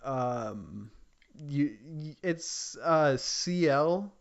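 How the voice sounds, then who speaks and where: A man co-hosting a podcast in casual gaming chat, with a hesitant, halting delivery. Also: fairly high pitch, animated, creaky, dull audio, a crowd in the background. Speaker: podcast co-host.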